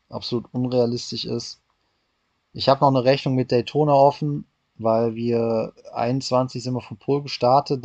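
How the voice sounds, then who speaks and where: Halting, gravelly man in his thirties. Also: mid-range voice, darkish tone, no room sound, dull audio. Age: 30s. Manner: hesitant, many fillers and restarts. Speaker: racing driver guest. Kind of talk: casual interview answers. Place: hotel room.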